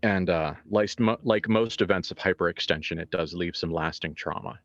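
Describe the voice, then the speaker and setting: A man's voice: sits low, normal speed, a bit bright, no echo. A man speaking as a game master, improvising in a wordy, searching way and putting probing questions to players. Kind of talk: improvised tabletop game discussion. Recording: slightly dull audio, music behind. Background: music.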